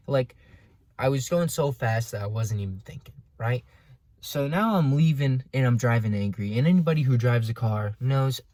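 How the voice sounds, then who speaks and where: A man's voice: medium pitch, a little mellow, no echo. A young American man telling a personal story casually, with dramatic emphasis and sarcastic asides. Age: young adult. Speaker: vlogger. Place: inside a car.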